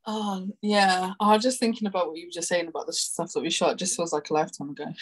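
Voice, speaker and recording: A woman's voice: on the low side, a little fast. A woman in her thirties from Brazil with a London accent, chatting casually as a podcast guest, laughing often and talking in a rambling, candid way. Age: 30s. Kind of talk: casual chat.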